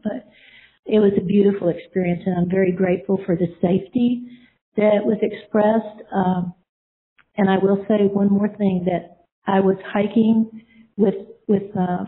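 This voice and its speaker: A middle-aged woman sharing a personal testimony, telling her story earnestly and rambling, with many fillers and restarts. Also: medium pitch. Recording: tinny phone-like line.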